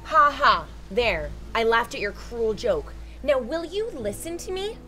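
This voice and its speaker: Woman playing a high-voiced distraught film-noir dame. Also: very animated, unhurried, pushed and bright, full character voice. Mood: urgent. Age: young adult. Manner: pleading, reproachful. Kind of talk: melodramatic film-noir acting.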